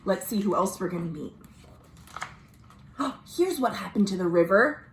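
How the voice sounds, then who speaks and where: An American woman reading a children's story aloud, expressive and dramatic, pausing to explain things simply. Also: medium pitch. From United States. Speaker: librarian, storytime host. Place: at home. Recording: roomy, echoey home recording.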